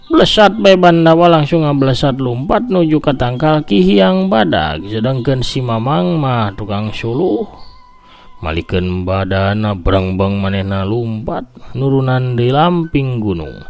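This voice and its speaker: A man with a Sundanese accent telling a folk tale as host, in a theatrical, drawn-out radio-story manner. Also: medium pitch, expressive, darkish tone, soft background music.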